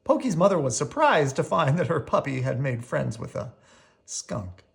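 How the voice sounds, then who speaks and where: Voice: medium pitch, big pitch swings, moderate pace, creaky. A storyteller reading a children's picture book aloud expressively, drawing out key words.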